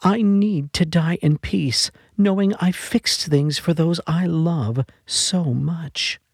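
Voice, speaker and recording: A man voicing a dying frontier mother who pleads gently with her husband. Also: on the high side, slow, vocal fry, husky, dry recording, clean studio sound, pitched above the performer's own voice, exaggerated voice. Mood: urgent.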